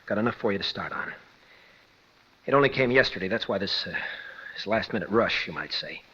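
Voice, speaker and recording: An actor playing an earnest young condemned prisoner, intense and pleading, in a radio detective drama. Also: male voice, lowish voice, expressive, fairly quick, pushed and bright, slightly rough, heavily acted. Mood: urgent, pleading. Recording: dull vintage radio recording.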